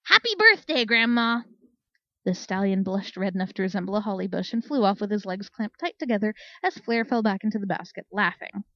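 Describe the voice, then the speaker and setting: A woman's voice: medium pitch, fairly even intonation, fast, hardly any pauses, no room sound, muffled recording. A woman reading a scripted story aloud as an audiobook narrator. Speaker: audiobook reader.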